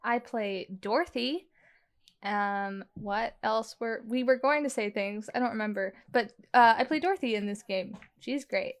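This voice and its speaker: A woman's voice: a little high, a little slow. A woman chatting casually as a podcast player, with a flippant, joking manner. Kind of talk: casual chat.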